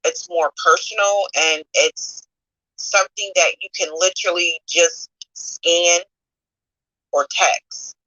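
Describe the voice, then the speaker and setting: A woman's voice: fairly low pitch, fairly even intonation, slow pace, pushed and bright, no echo, dull audio. A woman moderating a casual chat, cheerful and enthusiastic as she asks questions.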